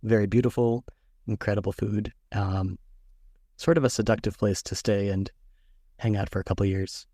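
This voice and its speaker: Low-voiced man telling travel stories. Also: normal speed, no room sound, clean studio sound. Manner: relaxed, storytelling with ums. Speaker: author guest. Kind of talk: interview answers.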